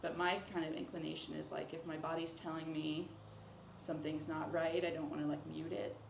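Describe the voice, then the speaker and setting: A woman's voice: sits low, flat delivery, brisk. A woman speaking informally as a patient, casually recounting symptoms with lots of "like" and quoted remarks. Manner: informal, peppered with "like", quoting others. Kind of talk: casual conversational account of symptoms. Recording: roomy echo with clear background noise.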